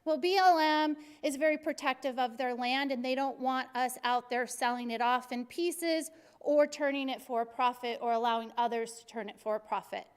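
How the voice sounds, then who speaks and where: Middle-aged city recreation chief, a woman from Arizona with a very high, bright voice, passionate and jokey, in a big reverberant hall. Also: moderate pace, clean tone. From Arizona, USA. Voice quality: very high-pitched voice. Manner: energetic, passionate and joking. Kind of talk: civic presentation to a class.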